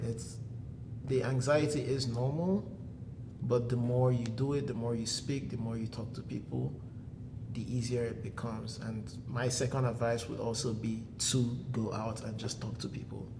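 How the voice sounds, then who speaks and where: A young Nigerian man with an Igbo accent, calmly giving advice as a guest in a casual interview. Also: medium pitch, noisy background.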